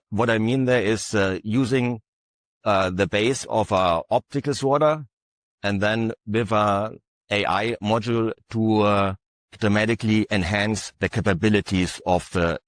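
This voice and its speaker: A man presenting his company's equipment at an industry session, speaking haltingly with many filler uhs. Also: fairly low pitch, steady tone, no echo. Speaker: conference panelist.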